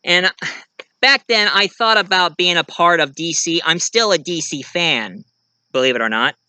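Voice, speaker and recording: Bright-voiced man with halting delivery. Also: on the high side, clear, resonant tone, no echo. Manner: halting, searching for words. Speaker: video reviewer and host of the channel. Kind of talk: casual talk to viewers about own writing.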